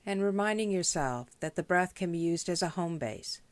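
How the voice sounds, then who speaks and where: A woman leading a guided meditation as a teacher, speaking in a gentle, measured, instructional way. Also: fairly low pitch, lively intonation, dry recording. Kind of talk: guided body scan meditation.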